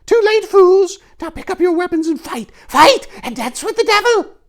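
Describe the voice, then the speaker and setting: Man as young witch girl, fantasy audiobook villain: very high, shrill, gleeful, mocking. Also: animated, normal speed. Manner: shrill, theatrical taunting.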